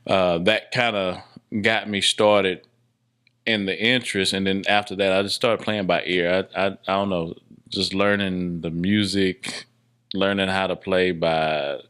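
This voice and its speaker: A man's voice: sits low, fairly even intonation, unhurried, fairly bright tone, clean tone. A man from Dallas, Texas, talking casually and telling stories as a podcast guest. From Dallas, Texas, United States. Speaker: podcast guest, filmmaker and musician. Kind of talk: casual conversational storytelling.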